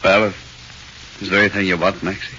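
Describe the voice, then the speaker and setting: An American cop in an old radio play, with a deep, hard-edged voice. He speaks haltingly in street slang, gruff but awkwardly sympathetic. The sound is muffled and old. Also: little pitch movement, bright, forceful tone. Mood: uneasy sympathy. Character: gruff, awkwardly sympathetic male cop guarding a condemned prisoner. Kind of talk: 1940s radio acting. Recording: dull noisy 1940s radio recording.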